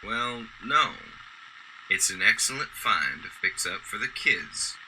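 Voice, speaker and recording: An actor playing a gentle, earnest older sanitation worker who restores old toys, in a radio drama. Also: male voice, fairly low pitch, expressive, slow pace, background noise. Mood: earnest, encouraging.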